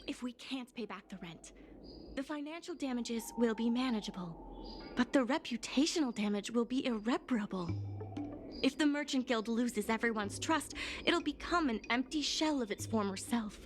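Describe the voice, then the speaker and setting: An actress playing an earnest, worried young female guild secretary in a video game, speaking in a polite, measured, articulate way. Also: fairly high pitch, lively intonation, lightly acted. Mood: grave. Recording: music playing behind the voice.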